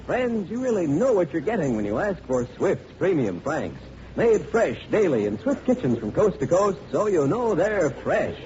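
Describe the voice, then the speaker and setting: Old time radio announcer guy, cheery pitchman, super singsong delivery, muffled recording. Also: sing-song, moderate pace. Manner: cheerful, emphatic salesmanship. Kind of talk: 1940s radio advertising and announcing. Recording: dull, faintly noisy vintage radio recording.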